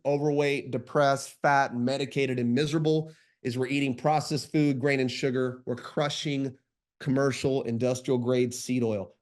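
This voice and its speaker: A man's voice: medium pitch, a little mellow. Stuffy-nosed guy on a podcast, kinda sleep deprived, hammering his points over and over. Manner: emphatic, insistent, repeats phrases for stress. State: congested, runny nose. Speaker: podcast co-host. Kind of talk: emphatic health monologue.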